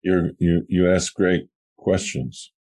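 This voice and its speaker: A man speaking as a podcast guest, answering in an easygoing, relaxed way. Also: low-pitched, slow pace. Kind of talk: relaxed conversational interview.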